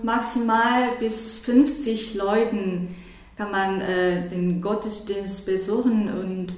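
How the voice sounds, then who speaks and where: A woman's voice: mid-range voice, steady tone, takes it slowly, very echoey. A woman from South Korea with a Korean accent, a church worker answering interview questions in a hesitant way, with many fillers and restarts.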